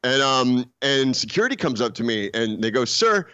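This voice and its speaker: A man's voice: mid-range voice, sing-song, moderate pace, bright, forceful tone, clear, resonant tone, dry recording, highs cut off. A man in his forties co-hosting a podcast, telling a story casually with many restarts and laughing at himself. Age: 40s. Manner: rambling, restarts his sentences, laughs at his own stories. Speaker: podcast co-host.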